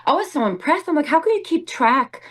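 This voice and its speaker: A Swedish woman, a musical theater performer, speaking English as a second language in a relaxed interview, thoughtful and full of fillers. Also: sits high, brisk. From Sweden. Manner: reflective, hesitant with many fillers. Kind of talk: casual interview conversation.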